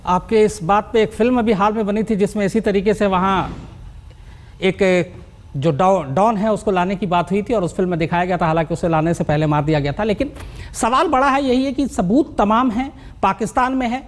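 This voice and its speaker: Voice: mid-range voice, fairly quick. A news anchor presenting live on television, emphatic and repeating key phrases to build up to each point.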